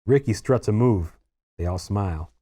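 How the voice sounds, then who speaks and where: A man's voice: lowish voice, animated, moderate pace, warm tone. A man narrating the stage directions of a screenplay as a scripted read.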